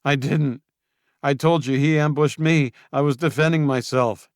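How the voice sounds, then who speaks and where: A cornered young traitor, performed by a man, talks fast and defensively, his voice pushed well above the reader's own deep register and louder. He pleads and snaps in the same breath, in a dry, close storybook reading. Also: fairly even intonation, no echo, close to an everyday voice. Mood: defensive, pleading.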